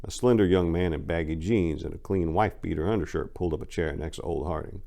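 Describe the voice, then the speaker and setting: A man's voice: low-pitched, fast, vocal fry, mellow. A man narrating a crime story as a dramatic audiobook reading.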